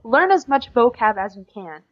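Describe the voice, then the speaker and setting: A woman's voice: a little high, very animated, normal speed, a bit bright. Young American woman, dull lo-fi sound. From United States. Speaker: podcast guest, exchange student. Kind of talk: casual conversational interview. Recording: dull lo-fi podcast recording.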